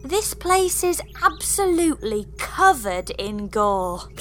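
A woman's voice: high-pitched, very animated, takes it slowly, full character voice. A woman playing a sweet, upbeat space engineer with a theatrical manner in a comedy sci-fi audio drama. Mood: appreciative.

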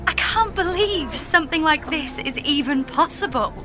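A woman's voice: sits high, animated, moderate pace, music in the background. A woman playing an exasperated, naive and incredulous space traveller in an audio comedy drama. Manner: incredulous, indignant, plain-spoken.

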